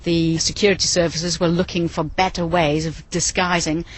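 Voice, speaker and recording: A woman explaining a subject as an interviewed expert, in measured talk. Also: lowish voice, little pitch movement, slightly rough.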